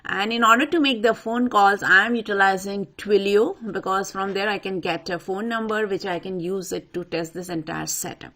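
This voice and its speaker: A woman presenting an unscripted software tutorial, talking the viewer through each step in an instructional way. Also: medium pitch, fairly even intonation, moderate pace, few pauses. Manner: instructional, addresses viewer directly. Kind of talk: step-by-step screen walkthrough, unscripted.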